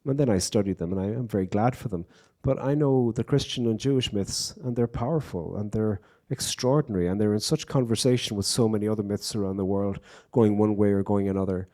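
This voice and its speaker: A man's voice: lowish voice, brisk, hardly any pauses, warm tone. An Irish man, a poet, telling wry stories and reflecting in a live on-stage conversation at a bookstore event. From Ireland. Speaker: poet and guest speaker.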